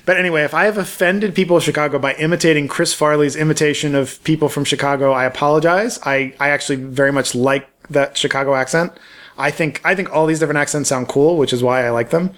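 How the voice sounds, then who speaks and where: A man with a Baltimore accent talks very fast on a podcast, his voice pitched a touch high for a man. He rambles along in casual chat and keeps restarting his sentences. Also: fairly even intonation, fairly bright tone. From Baltimore area. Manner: quick, rambling with many restarts. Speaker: podcast co-host.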